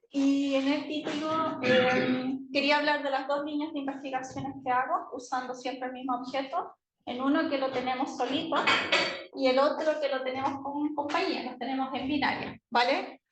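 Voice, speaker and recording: High-voiced Chilean woman giving an academic talk. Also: flat delivery, moderate pace. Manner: conversational, frequently checking in with listeners. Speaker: university astrophysics lecturer. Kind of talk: informal academic talk to students. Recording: echoey room with faint background noise.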